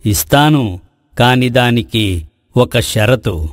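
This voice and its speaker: A magical forest tree played by a man speaks in a mid-pitched male voice. It is calm and tempting, offering bargains in slow, formal phrases. The recording is close and dry, in moral-story style. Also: no echo. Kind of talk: Telugu moral story voice acting.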